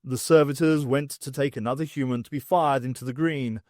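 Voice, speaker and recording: A man's voice: medium pitch, steady tone, fairly quick, darkish tone, no echo, clean studio sound. A man reading an audiobook as scripted, dramatic story narration.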